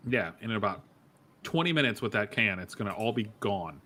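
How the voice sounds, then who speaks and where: A man hosts a casual live-streamed podcast with a bright, lively voice. He teases his friends and laughs easily as he talks. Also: on the low side. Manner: jokey, quick laughs, teasing. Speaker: podcast host.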